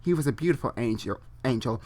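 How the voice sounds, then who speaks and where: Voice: mid-range voice, lively intonation, fast talker, darkish tone. A person doing a comedic dramatic reading of amateur online fiction, theatrical and unfiltered, breaking off into casual asides and re-reading stumbled phrases. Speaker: reader and host.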